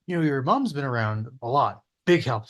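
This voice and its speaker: Lively man reading a pushy in-law. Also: medium pitch, moderate pace. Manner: lively, pushy, friendly lines. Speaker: cast member at a table read. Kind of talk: casual table read performance.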